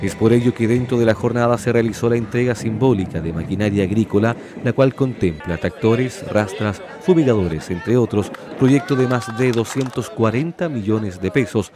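Low-voiced Chilean man reading news. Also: normal speed, hardly any pauses, over music, a crowd in the background. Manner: formal, even reading. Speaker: news narrator. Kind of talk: scripted news voice-over.

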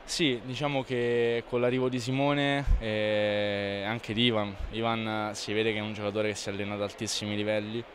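A young athlete talking in a low, level voice that barely rises or falls. He answers loosely and repeats himself as he goes. He speaks into a handheld mic held close, with faint noise behind him. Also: normal speed, few pauses. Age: young adult. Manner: relaxed, repetitive, self-correcting answers. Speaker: volleyball player. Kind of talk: post-match interview. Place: indoor sports hall in front of a sponsor wall. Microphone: reporter's handheld mic held close.

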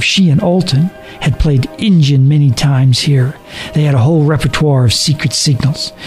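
A man's voice: fairly high pitch, darkish tone. Male horror storyteller over music. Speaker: storyteller, narrator. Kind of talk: dramatic scripted narration of a horror story. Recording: narration over background music.

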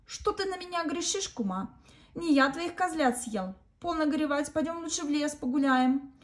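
A woman voicing a sly, hungry male wolf in a storybook, chanting loudly and later putting on a squeaky fake-sweet tone. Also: lively intonation, moderate pace. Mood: coaxing.

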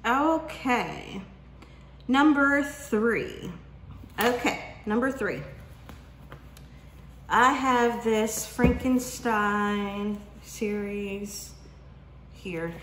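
A woman in her forties with a drawl talks slowly and playfully, stretching her questions and pausing to let them sink in. Her voice sits a little high. The sound comes from a camera across a table in a roomy, echoing kitchen. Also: fairly bright tone, slight background noise. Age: 40s. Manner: chatty, teasing, drawn-out questions with pauses. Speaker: book vlogger. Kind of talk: casual chat to camera. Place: home kitchen, indoors. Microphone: camera at desk distance.

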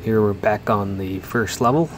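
A man narrating a walking tour through a quiet indoor mall while filming, talking in a relaxed way with pauses. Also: fairly low pitch, a little hiss or hum. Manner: relaxed, unhurried commentary with pauses. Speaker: mall explorer vlogger. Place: large indoor mall, mostly empty.

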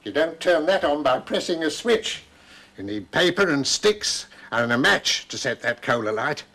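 A man's voice: on the high side, sing-song, moderate pace, pushed and bright, full character voice. An older actor playing a kindly grandfather who patiently explains old times to children, in a children's TV drama. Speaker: grandfather who does magic. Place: kitchen set, indoors.

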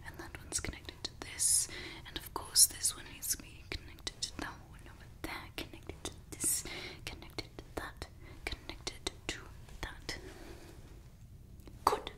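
A woman playing a fussy, playful female evil scientist in an ASMR roleplay, whispering softly and close to the microphone. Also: a little slow, lightly acted. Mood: soothing. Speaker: ASMR creator.

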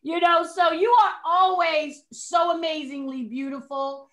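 Bright, high-voiced playful woman. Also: a little slow. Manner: playful, hype, slangy. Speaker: host. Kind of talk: casual chat on a video call. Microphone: video call.

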